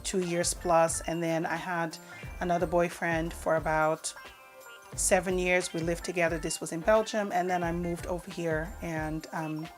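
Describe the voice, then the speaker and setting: A woman's voice: on the low side, level tone, moderate pace, soft background music. A woman in her forties whose first language is Portuguese, chatting casually in a vlog, reading out questions and answering them with lots of "um"s. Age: 40s. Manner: chatty, reads out each question then answers with fillers. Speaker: vlogger. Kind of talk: casual get-to-know-me tag video. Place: home in the UK.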